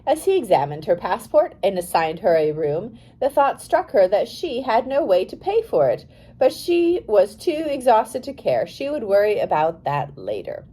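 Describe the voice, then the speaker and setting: A woman narrating a biography for young readers as an audiobook, in a careful, expressive storytelling manner. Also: medium pitch, normal speed.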